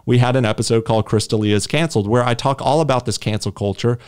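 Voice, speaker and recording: Guy co-hosting a podcast, mid pitch, fired up and rambly, keeps restarting his sentences. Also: quick pace, a little mellow, clear, resonant tone. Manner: emphatic, rambling, defiant, with frequent restarts. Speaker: podcast co-host. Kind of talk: casual podcast chat.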